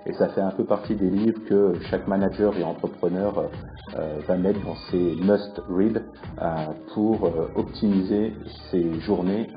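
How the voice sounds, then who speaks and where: Low-voiced host over faint music. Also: male voice, fairly even intonation, highs cut off. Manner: measured delivery with frequent hesitations. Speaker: podcast host. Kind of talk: recorded podcast intro.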